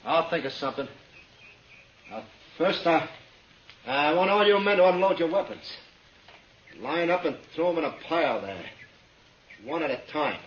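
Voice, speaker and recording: An actor playing a nervous, threatening young stagecoach robber in a 1950s western radio drama. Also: male voice, fairly high pitch, expressive, moderate pace, long pauses, lightly acted. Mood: tense, commanding. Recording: dull, echoey, hissy old radio recording.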